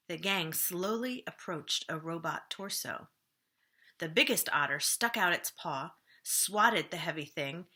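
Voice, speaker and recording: A woman reading a storybook aloud for children, with expressive, dramatic phrasing that often drops softly at the ends of phrases. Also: on the low side.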